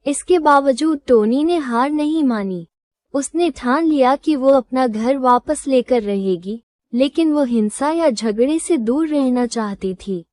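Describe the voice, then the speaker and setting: A woman reading a scripted children's moral story as storyteller, in a measured, instructive manner. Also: sits high, dark tone, dry recording.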